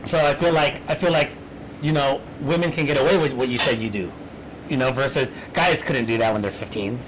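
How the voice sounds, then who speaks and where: A man's voice: mid-range voice, a little fast, poor audio. A man hosting a casual chat, rambling with many restarts as he works through his thoughts. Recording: clear background noise.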